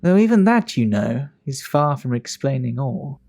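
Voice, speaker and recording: A man playing a worldly, talkative Victorian English gentleman in an audio drama, speaking in a measured, gossipy, fastidious way. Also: mid-range voice, big pitch swings, vocal fry. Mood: scornful, gossipy.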